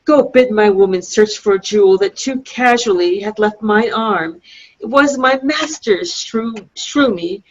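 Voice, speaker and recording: A woman reading a proud, sharp-tongued young princess in an amateur Shakespeare play, in measured formal verse, heard over a dull lo-fi video call. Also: medium pitch, natural voice. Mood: flustered, angry.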